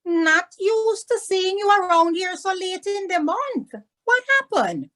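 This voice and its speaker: A woman's voice: very high voice, sing-song, measured pace, fairly bright tone, pitched above the performer's own voice, heavily acted. A woman voicing a stern, wise elderly grandmother in a children's storybook, questioning and moralizing. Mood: puzzled. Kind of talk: storybook read-aloud.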